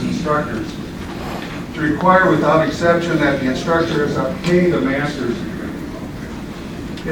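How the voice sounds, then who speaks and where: A man reading a prepared statement to a college board in a formal, measured way, recorded from a distance in a large meeting room. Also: fairly high pitch. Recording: echoey meeting room, distant mic, background noise.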